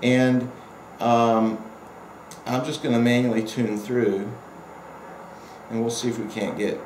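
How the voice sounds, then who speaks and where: A man giving a casual, unscripted product demonstration as a hobbyist reviewer, rambling and halting with afterthoughts. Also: mid-range voice, little pitch movement, a little mellow. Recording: echoey room with faint background noise.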